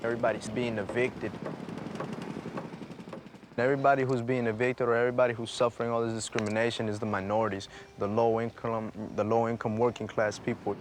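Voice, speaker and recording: A man's voice: medium pitch, level tone, brisk, noisy background. A young man from El Salvador, talking casually about his neighborhood in a documentary interview and using plenty of fillers. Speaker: neighborhood resident.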